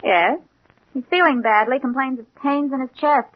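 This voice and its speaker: An actress playing a young night nurse, polite and helpful, in a 1940s radio drama. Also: female voice, high voice, normal speed. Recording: muffled old radio recording.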